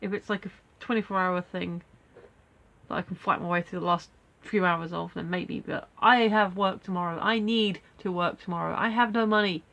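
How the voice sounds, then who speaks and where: A woman's voice: lowish voice, steady tone, normal speed, a bit bright, lo-fi sound. Snuffly woman with a head cold. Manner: rambling, self-deprecating, many fillers. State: stuffy nose from a cold. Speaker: vlogger. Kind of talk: casual daily video diary.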